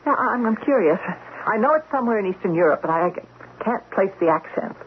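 A woman's voice: mid-range voice, animated, fairly quick, natural voice. An actress playing an earnest, troubled woman doctor in a radio drama, speaking in a hesitant, conversational way. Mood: curious, probing. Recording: dull, noisy old radio recording.

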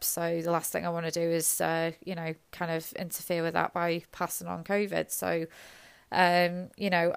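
Low gravelly woman, hoarse. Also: dry recording. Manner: halting, rambling, self-deprecating. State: hoarse from illness. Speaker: nurse. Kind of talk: reflective personal monologue.

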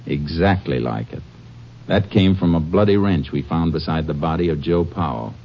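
An actor playing a terse, authoritative Texas Ranger in charge in a 1950s radio crime drama. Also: male voice, extremely low pitch, normal speed, a little hiss or hum. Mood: measured, firm. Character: steady, commanding Texas Ranger lawman in charge. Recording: muffled vintage radio recording with music.

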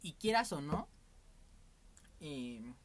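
A man's voice: on the high side, sing-song, very slow. Mexican podcast host, young man, candid rambling storyteller, faint room noise. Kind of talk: casual podcast chat.

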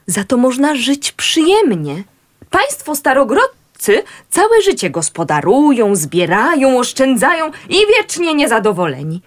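A woman's voice: high voice, sing-song, measured pace, heavily acted. A cheerful, carefree young lady, played by a woman in a radio play. Her voice moves lightly up and down.

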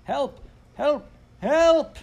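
Man as a high, frantic yelping storybook dog. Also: moderate pace, husky, muffled recording, heavily acted. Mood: frantic alarm. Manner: yammering, excitable, saucy. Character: frantic, cheeky farm dog yelping alarm. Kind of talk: storybook character voice.

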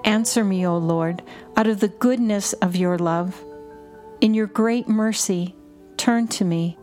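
A woman guiding contemplative prayer, reading in short, measured and deliberate phrases. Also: medium pitch, a little mellow. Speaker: prayer guide and podcast host. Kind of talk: slow, repetitive guided contemplative prayer reading. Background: music under the voice.

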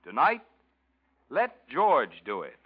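A man's voice: mid-range voice, wildly swooping pitch, slow pace, breathy edge. A male radio announcer reading a sponsor spot and show introduction in polished, emphatic 1940s style. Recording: dull lo-fi old radio recording.

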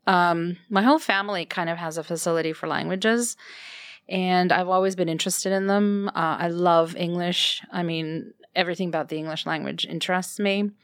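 Russian-native woman guest, smooth lowish voice, thoughtful and hesitant, dry close mic. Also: fairly even intonation, moderate pace, no echo. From Ukraine. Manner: thoughtful, many ums, laughs lightly. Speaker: guest, fashion brand founder. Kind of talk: casual interview chat.

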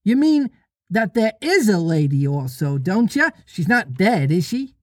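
Eager little boy , acted by an adult reader: high, swooping, exclamatory, storybook style. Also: big pitch swings, unhurried, a little mellow, dry recording, clean studio sound. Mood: eager, questioning. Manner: eager, exclamatory childlike delivery. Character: excitable, interrupting little boys in a storybook.

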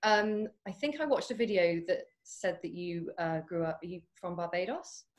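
A woman hosting a casual interview chat, playful and quick to laugh. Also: fairly low pitch. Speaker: host.

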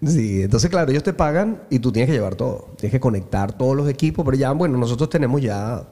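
A Venezuelan man, a singer who leads a band, chatting casually and telling lively anecdotes. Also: mid-range voice, fast talker, long reverb tail. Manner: storytelling, animated anecdotes. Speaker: singer and bandleader. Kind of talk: casual conversation.

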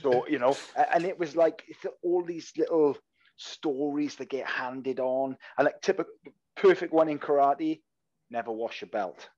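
Fast-talking Welsh man, dull sound. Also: a little high, a little mellow. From Wales. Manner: quick, clipped speech, swears freely. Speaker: podcast co-host. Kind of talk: casual chat.

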